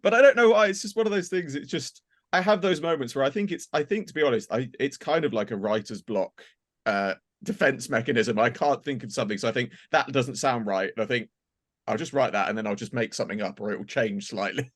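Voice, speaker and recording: A man with a mid-pitched voice talks casually among colleagues. He rambles through behind-the-scenes stories as a creator and performer, breaking into quoted asides as he goes. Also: lively intonation, fairly quick, a bit bright, dry recording, studio quality. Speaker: audio drama creator and performer. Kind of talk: casual group chat, behind-the-scenes reminiscing.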